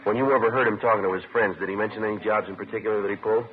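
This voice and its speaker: An American actor playing a sober, businesslike police sergeant, deadpan and matter-of-fact, in a 1950s radio crime drama. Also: male voice, lowish voice, rapid-fire, slight background noise, natural voice. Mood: businesslike, dry. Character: sober, businesslike male police sergeant narrating his cases. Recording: narrow, tinny vintage radio recording.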